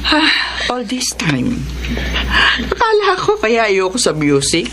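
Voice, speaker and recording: Radio drama with noisy ambience: a lonely, elderly Filipino woman, a retired teacher. She is grumpy and scolding with a soft heart underneath, her mid-range voice moving up and down expressively. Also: fairly high pitch, wildly swooping pitch, takes it slowly, exaggerated voice. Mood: softened, amused. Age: older.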